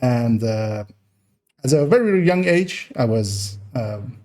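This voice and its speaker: Halting man with a mixed Persian-Dutch accent. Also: medium pitch, expressive, darkish tone. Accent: mixed Persian, Dutch and American. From Iran, raised in the Netherlands. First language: Farsi. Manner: halting, many fillers and restarts. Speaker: gaming YouTuber. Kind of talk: rambling Q&A monologue.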